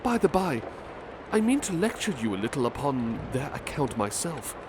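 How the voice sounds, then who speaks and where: Guy doing an excited young friend, gushing and upbeat, pitched up a good bit, street noise going loud behind. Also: moderate pace, close to an everyday voice. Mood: glad, eager. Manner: bright, excited, effusive delivery. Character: eager, cheerful young man, an old friend.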